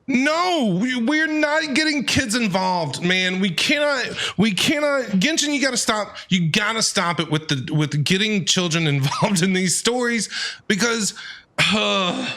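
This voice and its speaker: A man reacting live to a game as a streamer, casual, expressive and exclamatory. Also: high-pitched, a little slow.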